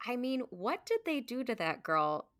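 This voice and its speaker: A woman's voice: fairly high pitch, sing-song, moderate pace, a bit bright, no echo, studio quality. A woman speaking as a podcast guest in a casual, informal conversational way, often saying "like". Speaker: podcast guest, stage performer. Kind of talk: casual chat.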